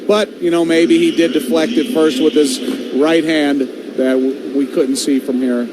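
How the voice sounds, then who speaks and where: High voiced guy doing football color commentary on radio, chatty explaining, noisy background. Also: fairly even intonation, measured pace. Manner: conversational, explanatory. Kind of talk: live radio analysis. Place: stadium broadcast booth. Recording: live booth with clear background noise.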